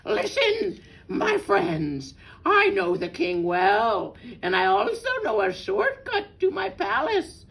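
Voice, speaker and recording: Sly storybook fox gentleman, played by a woman: falsely kind, theatrical, drawn-out, very animated. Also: a little high, gravelly, full character voice. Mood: coaxing. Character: sly male fox feigning kindly concern, storybook animal.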